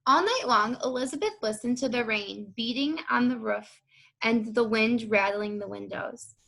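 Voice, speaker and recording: A woman's voice: fairly high pitch, normal speed, vocal fry, a bit bright, poor audio. A woman reading a children's picture book aloud as a co-reader.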